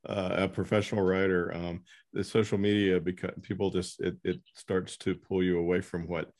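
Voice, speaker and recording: A middle-aged man hosting a casual interview as an editor, talking in a rambling, halting way. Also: sits low, flat delivery, a little mellow. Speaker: podcast host, book editor.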